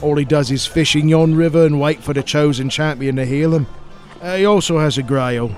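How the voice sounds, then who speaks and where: A man's voice: fairly high pitch, a little fast, a little mellow, lightly acted. Casting: male actor as gossipy, blunt peasant for comic radio play, music underneath. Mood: sly, gossipy. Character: cheeky British peasant, chatty and blunt. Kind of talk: 1940s-style radio play acting.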